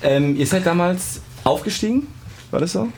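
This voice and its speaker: A man's voice: sits high, lively intonation, normal speed, noisy background. A man co-hosting an interview, asking short follow-up questions. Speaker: co-host. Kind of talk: casual interview talk.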